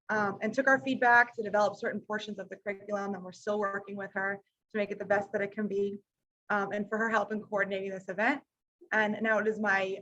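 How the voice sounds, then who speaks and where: A woman's voice: medium pitch, fairly bright tone, dull audio. A woman introducing a guest speaker at a school event, thanking partners with frequent hesitations. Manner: hesitant, with many ums. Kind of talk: formal introduction.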